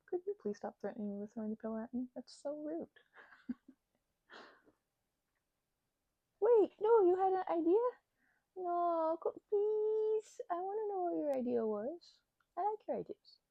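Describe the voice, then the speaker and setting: A woman's voice: a little high, warm tone, no room sound. A woman playing a chatty, playful, bashful young housemate in an ASMR roleplay, rambling and stammering as if in casual conversation. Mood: playful, animated. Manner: rambling, halting, stammering, giggly asides.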